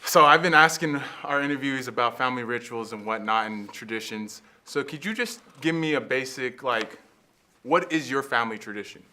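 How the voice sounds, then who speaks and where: A man's voice: mid-range voice, expressive, brisk, sharp tone, a little hiss or hum. A young man hosting a student interview, asking questions and cutting in with short, upbeat replies. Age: young adult.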